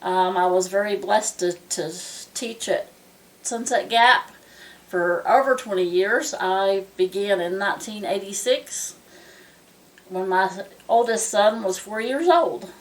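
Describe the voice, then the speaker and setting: A woman in her sixties from Ohio, a former teacher, introducing herself in a friendly, measured way. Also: medium pitch, recorded from a distance, low-quality recording. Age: 60s.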